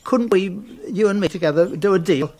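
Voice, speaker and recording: An actor playing a bumbling, hapless man who talks in an excitable, fumbling way. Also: sits high, a little fast, noisy background, close to an everyday voice. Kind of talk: sitcom acting.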